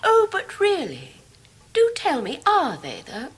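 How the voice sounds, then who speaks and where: An actress playing a sharp gentlewoman of about thirty with an English accent, insinuating and falsely innocent, in a radio drama. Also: high voice, wildly swooping pitch, takes it slowly, exaggerated voice. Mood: arch, needling. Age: 30s. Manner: falsely innocent, probing, archly emphatic questioning. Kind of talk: 1990s radio drama acting.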